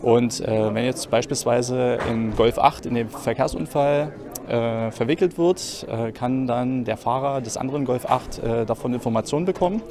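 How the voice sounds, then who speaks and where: A man in his thirties giving a spontaneous interview as a sales consultant, explaining things in halting phrases. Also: medium pitch, over music. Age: 30s. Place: busy car showroom, indoors. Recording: busy showroom crowd noise. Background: crowd chatter.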